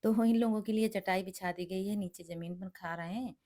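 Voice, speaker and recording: A middle-aged woman with a hoarse, cracked voice from a sore throat, chatting through a home cooking vlog and explaining each step. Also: medium pitch, fairly even intonation, normal speed, warm tone. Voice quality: cracked, rough voice. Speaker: vlogger cooking for guests. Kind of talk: casual cooking vlog narration.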